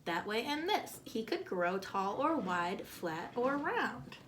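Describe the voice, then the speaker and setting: A woman reading a picture book aloud to children, expressively and with deliberate phrasing, as a storyteller. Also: on the high side, very animated, background noise.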